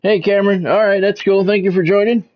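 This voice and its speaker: A man hosting a game livestream, talking sports to his viewers in measured, announcer-like phrases. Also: high voice, little pitch movement, highs cut off. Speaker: game streamer and sports commentator, former radio play-by-play announcer. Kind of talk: livestream monologue, sports talk to chat viewers.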